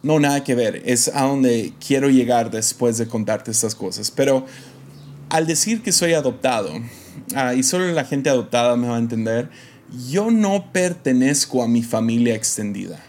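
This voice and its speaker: A young man from Mexico who speaks with an American accent, talking alone on his podcast. His pitch sits in a middle range. His delivery is casual and reflective, full of hesitations, restarts and small laughs. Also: expressive, normal speed, darkish tone. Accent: American English. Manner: hesitant, many fillers and restarts, light laughs. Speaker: podcast host.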